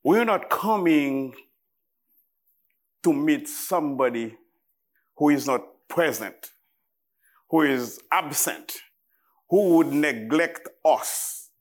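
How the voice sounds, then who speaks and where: A man with a Haitian accent preaching as a guest in church, speaking in short, deliberate phrases with halting pauses. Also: fairly high pitch, lively intonation. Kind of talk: sermon to a church congregation.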